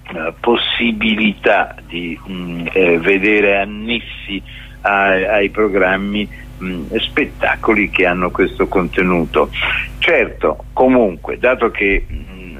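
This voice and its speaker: A man's voice: low-pitched, fairly bright tone, highs cut off. A middle-aged or older man answering in a radio interview, speaking hesitantly and searching for his words. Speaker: festival curator interviewed as guest.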